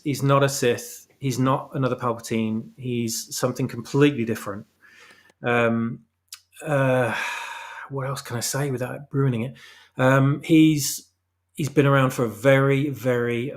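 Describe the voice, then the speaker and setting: Halting, emphatic man explaining his own story. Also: medium pitch, steady tone. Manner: halting, emphatic, repeating phrases for stress. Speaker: author, interview guest. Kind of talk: casual explanatory chat about own story.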